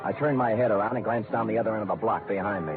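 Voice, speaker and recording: Actor as low-voiced wry hard-boiled American detective, old radio. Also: male voice, racing, close to an everyday voice. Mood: tense narration. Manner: wry, clipped tough-guy delivery. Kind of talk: 1940s-50s radio noir acting and first-person narration. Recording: narrow-band vintage radio recording, faint music.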